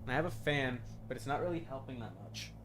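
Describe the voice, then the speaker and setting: A man's voice: mid-range voice, fast talker, noisy background. Rambling male streamer thinking aloud. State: tired. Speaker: streamer, VTuber. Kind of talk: casual live game stream commentary.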